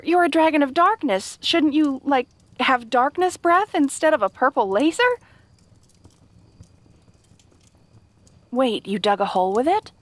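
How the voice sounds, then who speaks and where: A woman acts out a kind young fantasy princess with a fairly high voice, recorded close and dry. She is polite and earnest, a little naive, and hesitates as she explains herself directly to the listener. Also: no echo. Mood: startled, puzzled. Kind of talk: fantasy audio roleplay addressed to the listener.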